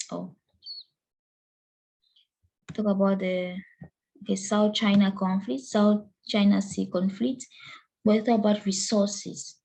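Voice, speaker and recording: A young woman from Cameroon giving an earnest lecture presentation, pausing with fillers and restarting phrases. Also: mid-range voice, gravelly, mellow, low-quality recording.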